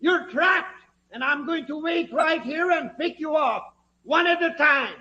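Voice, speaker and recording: Villainous henchman, menacing, very sharp forceful tone, gloating, muffled old radio drama. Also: very high-pitched, measured pace, a little hiss or hum, full character voice. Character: menacing, gloating gunman henchman with a ray gun. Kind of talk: 1950s radio serial acting.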